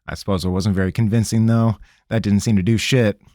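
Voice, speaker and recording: A man voicing a nervous, rambling young farm-boy mage with a high, twangy, folksy voice. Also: fairly even intonation, brisk, darkish tone, no echo, studio quality, lightly acted. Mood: rambling excuses. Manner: jittery, wheedling, folksy.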